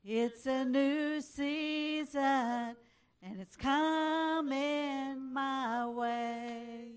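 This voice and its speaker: A woman singing a gospel song a cappella in slow, sustained phrases. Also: sits high, faint music behind. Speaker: church singer.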